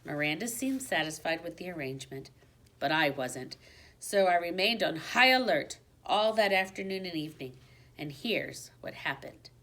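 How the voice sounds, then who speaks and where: A woman reading a children's storybook aloud in an expressive, dramatic manner, dropping to a hush for suspense. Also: lowish voice, normal speed. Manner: dramatic, with hushed suspenseful drops.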